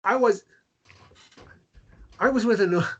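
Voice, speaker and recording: A man acting a guilt-wracked, volatile grieving widower at a table read, halting and repeating his phrases. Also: sits high, slightly rough. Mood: guilty despair. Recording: dull lo-fi sound.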